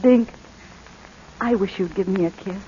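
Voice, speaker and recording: A woman's voice: mid-range voice, measured pace, dark tone, a little hiss or hum, natural voice. A woman playing a tender, anguished mother in a 1940s radio drama. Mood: tender, hesitant. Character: tender, anguished mother pleading with her estranged son. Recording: muffled old 1940s radio recording.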